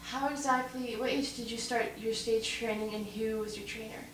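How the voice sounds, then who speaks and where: A woman interviewing at a convention, asking soft, measured questions. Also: on the high side, fairly even intonation. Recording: echoey room, far mic, noisy.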